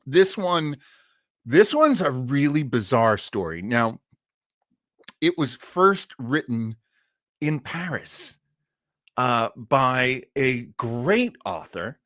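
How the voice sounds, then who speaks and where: Man, show host, casual rambling intro, chatty, enthusiastic, mid-pitched, dry close recording. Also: dry recording. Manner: chatty, enthusiastic about old radio stories. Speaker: host of an old-time radio show stream.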